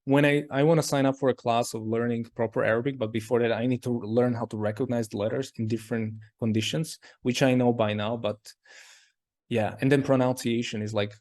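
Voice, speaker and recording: A man's voice: medium pitch, steady tone, brisk, a little mellow. A man as an interview guest in casual conversation, talking in loose, rambling sentences full of "like". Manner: rambling, heavy use of "like".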